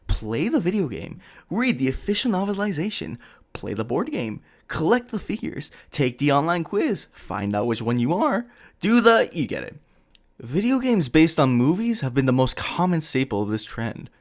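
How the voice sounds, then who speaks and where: A man's voice, a little higher than most, with a very lively range. He delivers comedic scripted commentary like a video essayist, mixing in casual asides. His manner is sarcastic and exasperated, with some swearing. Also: moderate pace.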